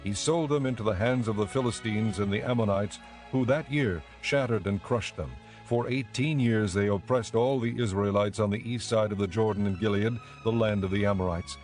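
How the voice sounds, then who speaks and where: A man narrating a dramatized audio Bible in a measured, clearly enunciated storytelling style. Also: fairly low pitch, darkish tone, faint music behind.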